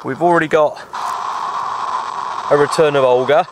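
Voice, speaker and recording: A man with a British accent chatting casually and informally to viewers in an unhurried, easygoing way, like an outdoor hobby vlogger. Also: steady tone. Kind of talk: casual outdoor vlog chat. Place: outdoors at a windy makeshift shelter. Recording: noisy outdoor recording with ambient rumble.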